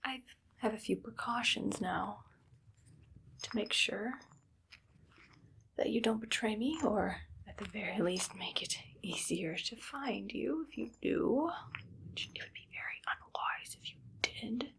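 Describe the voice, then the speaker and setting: A woman playing a menacing, condescending evil doctor in a soft, whispered ASMR roleplay, speaking close to the mic with deliberate phrasing. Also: mid-range voice, creaky, a little hiss or hum. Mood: quietly menacing. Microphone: close ASMR microphone.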